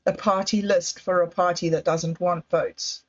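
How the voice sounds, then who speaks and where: Nz woman rambling slowly on a livestream, kinda unsure of herself, muffled lo-fi sound. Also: on the low side, fairly even intonation. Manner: hesitant, musing, self-doubting. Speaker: livestream host. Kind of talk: casual livestream monologue. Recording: slightly dull lo-fi audio.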